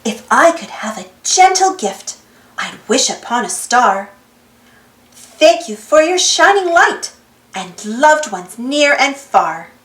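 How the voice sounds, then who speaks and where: Woman, high-pitched, slow singsong storybook read-aloud, expressive, roomy echo, music behind. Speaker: storybook reader, channel host.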